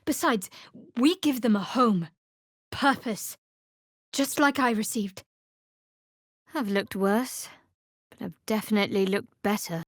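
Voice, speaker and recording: A woman's voice: mid-range voice, takes it slowly, long pauses, dry recording, studio quality. A woman playing a guarded, sardonic young female cleric in a fantasy video game, speaking in a cool, wry, clipped manner.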